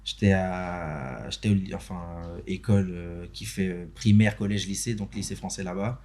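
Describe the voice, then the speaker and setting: A young man from Benin talks about his work in a relaxed, halting way, stopping often to restart his sentences. His voice sits a little low. He sounds like a guest chatting on a podcast. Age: young adult. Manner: hesitant, many fillers and restarts. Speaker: podcast guest, interview host and motion designer. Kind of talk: casual chat. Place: podcast studio.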